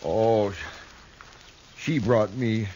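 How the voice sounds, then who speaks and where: An actor playing a frightened, timid fugitive in a 1950s radio western, hesitant, stammering and plaintive. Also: male voice, fairly low pitch, fairly even intonation, extremely slow, mellow. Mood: relieved, shaky. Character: frightened, timid male fugitive, crying, pleading with marshal. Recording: dull, faintly noisy old radio recording.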